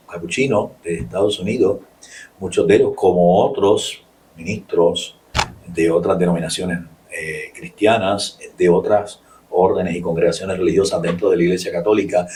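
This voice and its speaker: A man with a Puerto Rican accent, a friar answering interview questions in a measured way, with pauses and restarts. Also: deep voice, a little mellow, recorded from a distance, a little hiss or hum, poor audio. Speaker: Capuchin friar and guest.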